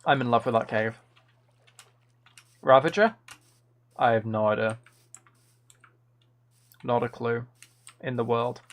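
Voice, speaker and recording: A man's voice: mid-range voice, slow, long pauses. A man chatting casually on a gaming livestream in a playful, rambling way. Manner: playful, rambling, trying out game sound impressions. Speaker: livestreamer, author. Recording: faint noise with key clicks. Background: computer keyboard typing and clicking.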